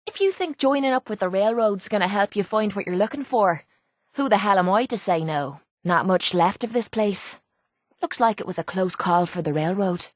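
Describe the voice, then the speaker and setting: A woman's voice: medium pitch, no room sound, clean studio sound, close to an everyday voice. A woman playing a tough, cocky young brawler in a video game, with an Irish accent and a blunt, sardonic manner. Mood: blunt, dry. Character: tough, brash, foul-mouthed young woman brawler and mercenary. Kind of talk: video game companion dialogue.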